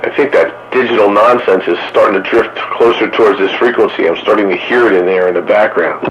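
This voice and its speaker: Casting: man, lowish animated voice, casual joking radio host, heard through a muffled, hiss-filled CB transmission. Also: a little fast. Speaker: amateur radio operator hosting an on-air roundup. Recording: noisy hissy muffled two-way radio transmission.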